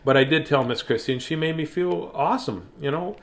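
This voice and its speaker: A man's voice: a little high, a little fast. A man, chatting casually and reading to viewers as a bedtime storyteller in a silly, playful manner. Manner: silly, playful, with singsong scatting. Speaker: children's bedtime story reader.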